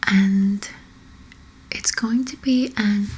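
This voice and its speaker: A woman speaking in a soft, breathy whisper as an ASMR host, gently and hesitantly guiding listeners to relax. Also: medium pitch, steady tone, drawn-out words, a little hiss or hum. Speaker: ASMR host, energy healer.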